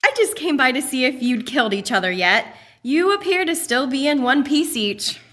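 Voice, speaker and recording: A playful, teasing young woman, played by an actress, with a bright, high, very lively voice. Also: normal speed.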